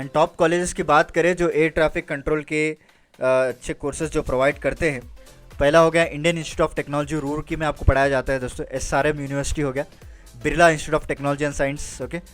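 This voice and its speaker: Higher-pitched man explaining over music. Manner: conversational, frequent check-in tags, mixes in English phrases. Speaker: career guidance presenter. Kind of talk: informal explainer lecture addressed to viewers.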